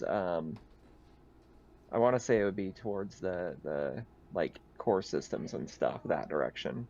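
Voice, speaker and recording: A man's voice: mid-range voice, a little mellow. A man narrating a tabletop roleplaying game as game master in an easygoing, explanatory way, on slightly dull audio.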